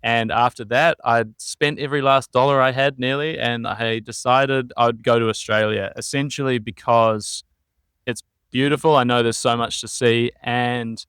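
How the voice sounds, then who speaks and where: Smooth-voiced young New Zealand man. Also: medium pitch, normal speed, dry recording, studio quality. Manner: relaxed, meandering storytelling with frequent ums. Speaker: podcast guest. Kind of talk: casual interview chat.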